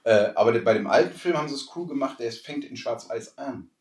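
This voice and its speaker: A man's voice: mid-range voice, lively intonation, fast talker, a little mellow. A man co-hosting a podcast, talking casually and informally among friends. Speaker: podcast co-host. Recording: echoey room, distant from mic.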